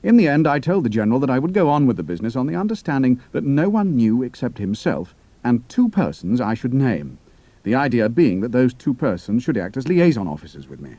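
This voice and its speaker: Mellow, husky pilot. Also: male voice, mid-range voice, big pitch swings, a little fast, no room sound. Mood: earnest briefing. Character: cool, capable young male British pilot turned spy.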